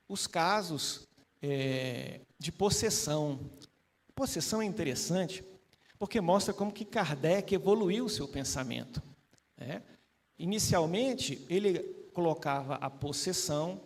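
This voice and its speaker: High-voiced male panelist, chatty teacher, fond of rhetorical tag questions. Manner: conversational, asks frequent rhetorical tag questions. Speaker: spiritist panel guest. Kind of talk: casual spiritist teaching talk.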